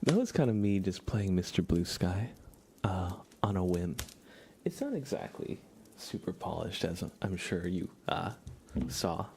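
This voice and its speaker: A young man doing a casual ASMR livestream chat, relaxed and halting, softly spoken and often slipping into whispers. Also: low voice, vocal fry, slight background noise. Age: young adult. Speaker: ASMR livestreamer chatting with viewers.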